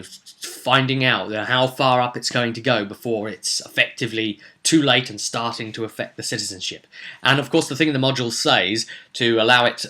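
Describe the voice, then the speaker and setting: A man's voice: medium pitch, pushed and bright. A man recapping a tabletop roleplay session as game master, telling it theatrically with casual asides.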